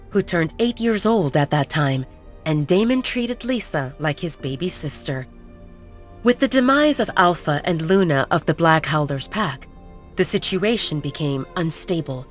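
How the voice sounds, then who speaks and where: A woman reading scripted audio drama narration as a storyteller. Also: on the low side.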